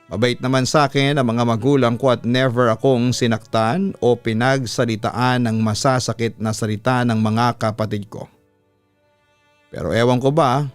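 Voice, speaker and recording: A man who hosts a radio show, reading a listener's horror letter aloud in a dramatic, theatrical way, with sudden lifts in pitch. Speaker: radio announcer and host. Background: faint background music.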